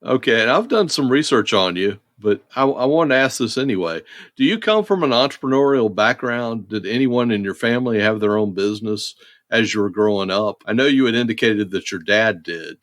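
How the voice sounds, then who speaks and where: A man in his forties with a low voice, hosting a podcast. He reads his guest's intro at an even pace and then chats in a steady way. The sound is dry and close to the mic. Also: brisk, no room sound. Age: 40s. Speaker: podcast host.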